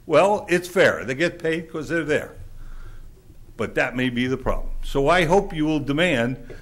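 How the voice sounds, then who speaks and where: A man with a loud voice giving a blunt, exasperated public comment at a council chamber podium, lecturing the council. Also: a little high, lively intonation, unhurried.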